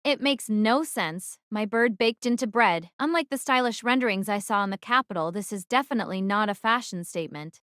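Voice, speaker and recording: A woman's voice: mid-range voice, lively intonation, brisk, few pauses, a bit bright, clear, resonant tone, dry recording, studio quality, close to an everyday voice. A woman playing a guarded, wary teenage girl archer who narrates her own story in an audio drama. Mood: wary, tense.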